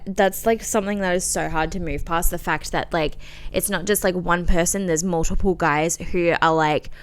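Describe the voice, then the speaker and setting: A woman's voice: on the low side, a bit bright, smooth. A woman in her twenties with an Australian accent, telling personal stories in a candid, casual podcast style full of "like" and informal slang. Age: 20s. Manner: candid, informal, liberal filler "like" and swearing. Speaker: podcast host. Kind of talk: casual chatty solo podcast storytelling.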